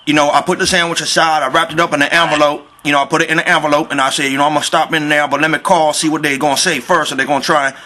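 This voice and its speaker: A man's voice: fairly high pitch, little pitch movement, racing, hardly any pauses, bright, forceful tone. A man voicing a folksy, persistent Southern construction worker making a rambling, mock-polite complaint on a prank phone call. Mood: insistent. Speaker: prank caller.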